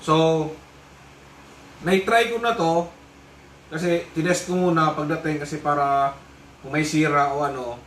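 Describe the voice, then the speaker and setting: Echoey, higher-voiced Filipino man reviewing gear. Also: steady tone, a little slow, long pauses, fairly bright tone. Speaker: vlogger reviewing a motorcycle intercom. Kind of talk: casual product unboxing review. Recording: echoey room, distant mic, faint noise.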